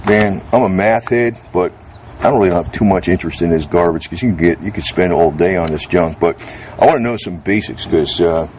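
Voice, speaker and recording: A man with a fairly low voice talks off the cuff as he reviews gear. He rambles in a blunt, casual way with mildly salty slang. The recording is made outdoors under a carport, with some light background noise. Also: a little fast, a little mellow. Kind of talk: unscripted casual product chat. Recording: noisy outdoor recording with ambient hum.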